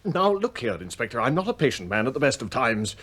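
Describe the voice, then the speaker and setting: Curt, haughty English husband, animated. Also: male voice, medium pitch, fast talker. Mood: indignant. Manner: clipped, formal, indignant. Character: curt, impatient, haughty well-off English husband under suspicion. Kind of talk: radio play acting.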